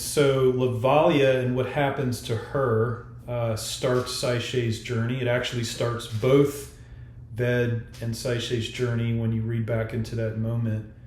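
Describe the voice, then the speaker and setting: A man speaking as an author in a podcast discussion, explaining haltingly with pauses to choose his words. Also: mid-range voice, a little mellow, a little hiss or hum. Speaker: author discussing own story. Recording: roomy, echoey sound.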